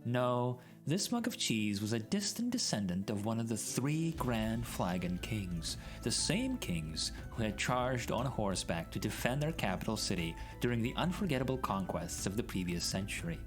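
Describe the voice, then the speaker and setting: A man's voice: medium pitch, big pitch swings, normal speed, hardly any pauses. A man doing a dramatic storybook reading in a theatrical, mock-grand way. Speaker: streamer reading a story aloud. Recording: background music mixed under voice.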